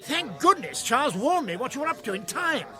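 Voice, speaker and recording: A man's voice: extremely high pitch, very animated, fairly quick, music in the background. A man playing an officious, stern but fair prison governor in a British radio comedy, formal and authoritative.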